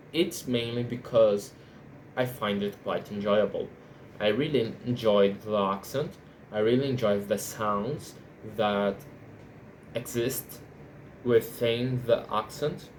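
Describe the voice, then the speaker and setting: Brazilian man, slow and careful, stumbling over restarts, faint background hiss. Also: on the low side, a little mellow. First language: Portuguese. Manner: halting, repeats words and restarts sentences. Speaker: language learner vlogger. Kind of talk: unscripted monologue.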